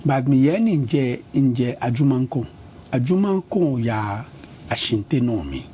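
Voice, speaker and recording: A man's voice: medium pitch, unhurried, slight background noise. A middle-aged Ghanaian man with a Ghanaian accent, giving an interview and switching between English and Ga. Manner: switches between English and Ga, lists places at an even pace. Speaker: interview guest, politician. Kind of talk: conversational interview.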